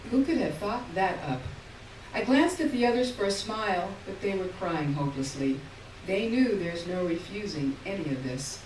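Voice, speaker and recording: Expressive woman reading fiction, distant roomy sound. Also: medium pitch. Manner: expressive, emphatic on key words, pausing between short phrases. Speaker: reader of short fiction at a live literary event. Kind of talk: dramatic reading of literary short story. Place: live stage reading in a room. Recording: roomy, distant mic, faint noise.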